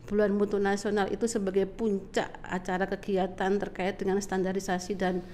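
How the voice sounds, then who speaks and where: A woman's voice: mid-range voice, little pitch movement, normal speed, darkish tone, slight background noise. Slightly nasal middle-aged woman, explaining. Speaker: interview guest, government agency director. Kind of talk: conversational explanatory answers.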